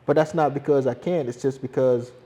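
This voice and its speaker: A young man from hosting a podcast, talking in a relaxed, rambling way with lots of fillers and restarts. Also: medium pitch, fairly quick. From Guinea. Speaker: podcast host and video creator. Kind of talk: casual conversation.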